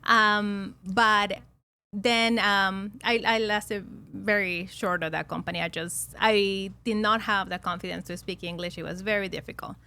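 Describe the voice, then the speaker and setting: Peruvian-accented woman, around forty, chatty podcast guest, laughs a lot, mid-pitched voice. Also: fairly even intonation, measured pace, pushed and bright, clean tone. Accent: Peruvian Spanish. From Peru. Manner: chatty, laughs often, many fillers and restarts. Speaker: podcast guest, loan officer. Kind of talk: casual storytelling interview.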